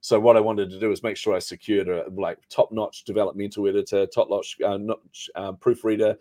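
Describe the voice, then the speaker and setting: A middle-aged man speaks with a New Zealand accent in a fairly low voice. He tells his story as an interview guest, chatty and self-deprecating. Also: flat delivery, brisk, warm tone. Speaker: podcast guest, marketing coach.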